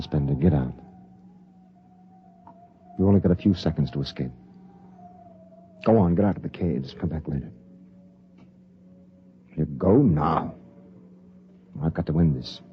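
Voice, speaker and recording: An actor playing a grave, conscience-torn spaceship captain, solemn and earnest, in 1950s radio drama style. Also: male voice, very deep voice, lively intonation, unhurried, pauses often, slight background noise. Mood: urgent, torn. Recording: dull vintage 1950s radio recording.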